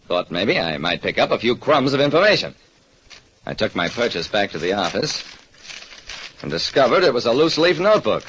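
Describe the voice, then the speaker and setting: A man's voice: mid-range voice, a bit bright, a little hiss or hum. A man playing a shrewd, wry hardboiled private detective narrating an old radio mystery. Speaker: narrator and lead actor. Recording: muffled old radio recording.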